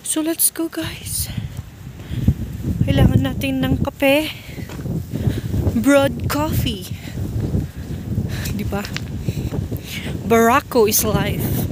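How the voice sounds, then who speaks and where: A woman in her forties from the Philippine highlands, chatting casually in a walking vlog on a foggy mountain road, her phone held at arm's length. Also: very slow, long pauses. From Benguet, Philippines. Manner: chatty, mixes English into Tagalog, talks while walking. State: slightly out of breath from walking. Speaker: vlogger. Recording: outdoor phone recording with clear background noise.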